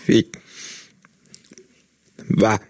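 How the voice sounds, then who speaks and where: A man voicing a nervous male psychiatrist who speaks in a shrill, halting way. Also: a little mellow, a little hiss or hum. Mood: jittery.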